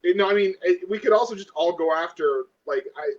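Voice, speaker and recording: Higher-pitched man chatting, slightly muffled audio. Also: a little fast. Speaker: tabletop game player. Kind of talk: casual table chat.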